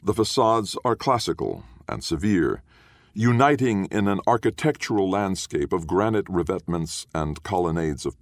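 A man reading literary prose as an audiobook narrator, in a measured, formal and deliberate manner. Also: rumbling low, no room sound, studio quality.

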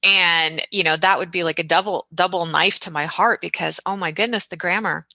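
A woman in her forties from the United States, who grew up in Texas, telling lively and reflective stories as a guest in an interview. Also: lowish voice, a little fast, dry recording. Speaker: podcast host, guest. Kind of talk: casual interview storytelling.

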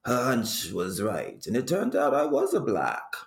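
A man telling stories as an interview guest in a theatrical, grand and droll manner, pausing for effect. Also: mid-range voice, wildly swooping pitch, gravelly, echoey room, very noisy. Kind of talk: theatrical personal storytelling.

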